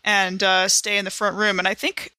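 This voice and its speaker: Bright-voiced woman playing a tabletop game. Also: medium pitch, flat delivery, normal speed, smooth, no room sound. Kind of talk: casual improvised game chat.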